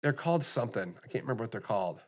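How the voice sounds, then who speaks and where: An American man co-hosting a laid-back podcast, talking in loose, rambling stretches. He circles back often, fills pauses with ums and restarts his sentences mid-thought. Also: medium pitch, very animated. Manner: rambling, many restarts and fillers. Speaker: podcast co-host. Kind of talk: casual chat.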